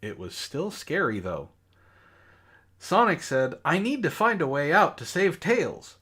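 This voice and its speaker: Exasperated man, slow and deliberate delivery. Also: medium pitch, fairly bright tone. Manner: exasperated, sarcastic. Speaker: reader on a comedy book club show. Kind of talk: mock-dramatic reading of bad fan fiction with exasperated asides.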